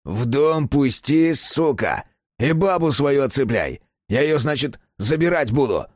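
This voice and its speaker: A man's voice: medium pitch, big pitch swings, a little slow, dry recording, studio quality, voice pushed up. A man voicing a sly, corrupt village policeman who wheedles and then hisses threats. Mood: menacing. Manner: wheedling, then hissing and menacing, filler-heavy patter. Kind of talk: audiobook character voice.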